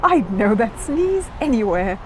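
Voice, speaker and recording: A woman's voice: on the high side, very animated, a little slow, a little hiss or hum. A woman voicing an eager, cheerful young schoolboy in a children's story, given to exclaiming. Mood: astonished.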